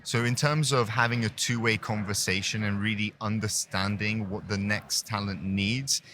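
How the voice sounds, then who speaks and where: A man's voice: on the low side, steady tone, moderate pace, bright, forceful tone, slight background noise. A young man in his twenties from Hong Kong, a business student, speaking articulately in an interview and posing rhetorical questions. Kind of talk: podcast interview.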